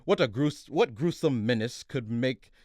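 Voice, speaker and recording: A man's voice: medium pitch, lively intonation, a little slow, darkish tone, no echo, clean studio sound. A man playing a disgruntled, theatrical radio announcer in a parody of old serials, switching between grandiose and petulant.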